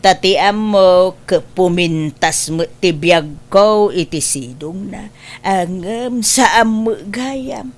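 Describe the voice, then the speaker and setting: A woman's voice: mid-range voice, takes it slowly, close to an everyday voice. A woman with an Ilocano accent playing a gossipy, exasperated friend in a comedy drama. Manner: exasperated, scornful. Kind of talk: Ilocano comedy radio-style drama acting.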